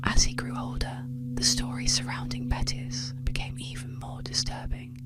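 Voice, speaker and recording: Woman whispering spooky bedtime stories asmr style, right up on the mic, slow and soothing. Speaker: ASMR storyteller. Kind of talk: ASMR whispered scripted narration of eerie legends. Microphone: close-up microphone.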